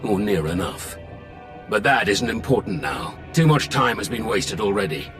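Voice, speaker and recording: Game villain: man playing a menacing Sith lord, low-pitched, deliberate, coldly commanding, over loud score. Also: expressive, music in the background, close to an everyday voice. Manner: imperious, deliberate. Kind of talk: video game cutscene acting.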